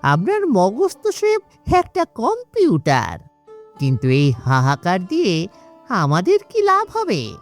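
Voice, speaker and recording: A woman playing a fawning, crafty male henchman of a village boss in an animated moral story, obsequious and theatrical. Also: medium pitch, takes it slowly, soft background music, heavily acted. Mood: fawning, puzzled.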